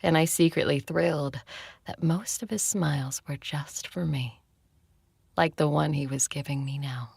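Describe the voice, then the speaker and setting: An ageless vampire conqueror in an intimate fiction podcast. Her voice is low, husky and slow, close and dry. She is dryly teasing and tender, keeping everything hushed. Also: dry recording, exaggerated voice. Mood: intimate narration. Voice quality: husky, creaky. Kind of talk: intimate audio drama acting and first-person narration.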